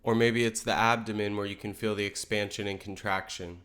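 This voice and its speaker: A man leading a guided meditation in a calm, gentle, unhurried way, letting his phrases settle softly. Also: on the low side. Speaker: meditation teacher.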